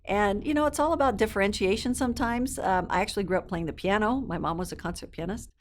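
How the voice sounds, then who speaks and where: A woman's voice: mid-range voice, quick pace, slight background noise. A woman in her fifties who grew up in South America, an interview guest telling stories in an upbeat, fluent way with a few ums. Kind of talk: casual interview chat.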